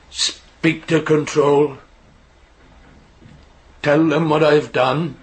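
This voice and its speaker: A husky male voice as a remorseful signalman in a radio play. He speaks extremely slowly and haltingly, grave and burdened. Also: medium pitch, heavily acted. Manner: very slow, halting delivery. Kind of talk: radio drama acting.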